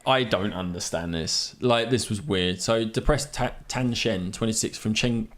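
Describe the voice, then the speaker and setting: A man's voice: lowish voice, unhurried. A man co-hosting a podcast, reading a news story aloud in casual chat, stumbling over names and laughing along. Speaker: podcast co-host.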